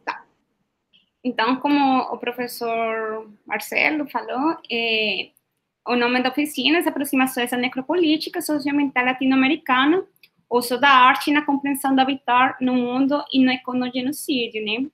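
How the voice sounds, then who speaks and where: A woman's voice: sits high, fairly even intonation, moderate pace, fairly bright tone. A woman from Colombia with a Colombian Spanish accent, leading an online workshop over a video call, with many hesitations and tag questions. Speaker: workshop facilitator.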